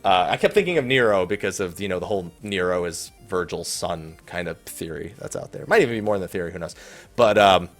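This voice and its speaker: A man's voice: low voice, animated, fast talker. A man hosting a gaming Q&A, talking casually and off the cuff in a rambling, conversational way. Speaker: host of a gaming Q&A show. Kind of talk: casual unscripted Q&A commentary, reading out viewer questions. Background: faint background music.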